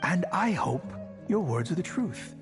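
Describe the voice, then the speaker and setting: Blustering, high-pitched desert chief. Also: male voice, sing-song, a little slow. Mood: menacing. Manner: blustering, emphatic, theatrical. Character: brutal, greedy, gullible male desert tribe chief. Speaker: game voice actor. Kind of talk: dramatic video game voice acting. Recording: music under the voice. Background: game music.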